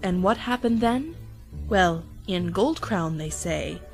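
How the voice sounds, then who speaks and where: A woman's voice: fairly low pitch, expressive, slow pace, faint music behind. A woman narrating a rhyming parody storybook as a theatrical storyteller.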